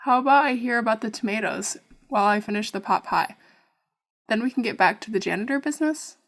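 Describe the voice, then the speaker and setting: An actress playing a young, curious documentary maker who is polite, wry and playful, in an audio drama. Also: female voice, fairly high pitch, fast talker, lightly acted. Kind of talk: audio drama acting with narration.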